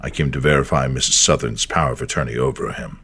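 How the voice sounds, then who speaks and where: A man acting a formal, businesslike attorney in an audio drama, brisk and official in manner. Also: a bass voice, steady tone, fairly bright tone, breathy edge, full character voice. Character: formal businesslike lawyer in a suit.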